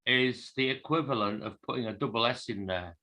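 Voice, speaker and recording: A man's voice: mid-range voice, a little fast, bright, forceful tone, poor audio. A man in a language class tentatively putting a question as a learner.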